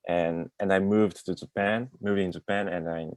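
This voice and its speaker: Young male student, lowish voice, hesitant, filler-heavy; dry room. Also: steady tone, moderate pace, no echo. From Mongolia. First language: Mongolian. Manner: hesitant, fills with "like" and "uh". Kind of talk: classroom discussion.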